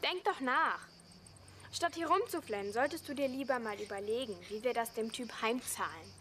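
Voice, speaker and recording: A woman's voice: fairly high pitch, lively intonation, moderate pace, sharp tone. An actress playing a bold, defiant, scheming young girl in a German radio play, bossy and goading. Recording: background noise behind the voice.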